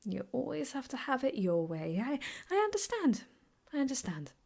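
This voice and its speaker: A woman playing an obsessive, possessive, sweetly menacing ex-girlfriend in an improvised ASMR roleplay, teasing and often slipping into breathy whispers. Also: high voice, big pitch swings, normal speed, darkish tone, exaggerated voice.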